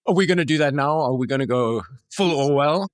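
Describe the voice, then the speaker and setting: A man's voice: fairly high pitch, a little fast, dry recording, clean studio sound. A man hosting a conversational interview, putting questions and remarks to his guest. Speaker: interviewer, host.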